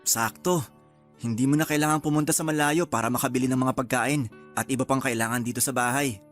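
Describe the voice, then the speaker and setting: A man acts as a devoted young husband and father in a radio drama. He speaks affectionately with a Filipino accent, at a middle pitch, with light music behind him. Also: fairly even intonation, fairly quick, fairly bright tone, natural voice. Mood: relaxed, affectionate. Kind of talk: Tagalog radio drama acting.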